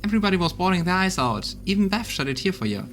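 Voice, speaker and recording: A man's voice: high-pitched, big pitch swings, quick pace, a bit bright, soft background music. A man voicing a loyal, weary female army officer in a video game, talking in an earnest, folksy way. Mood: candid, confiding. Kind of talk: voiced video game dialogue.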